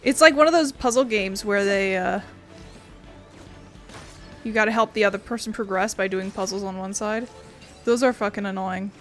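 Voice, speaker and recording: A woman's voice: mid-range voice, normal speed, long pauses, soft background music. A woman giving casual, blunt, playful let's play commentary, with some swearing. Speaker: gaming streamer.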